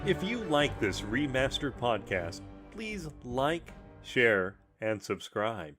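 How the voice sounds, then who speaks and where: Slow, dramatically inflected male announcer. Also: medium pitch, very animated. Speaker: podcast announcer. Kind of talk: scripted intro announcement. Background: music.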